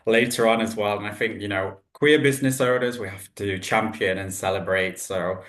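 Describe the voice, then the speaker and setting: Male podcast host, mid pitch, upbeat and encouraging, roomy echo on the mic. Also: moderate pace, fairly bright tone. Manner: upbeat, enthusiastic, uses "delve" and "yeah". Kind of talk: casual interview hosting. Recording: echoey room.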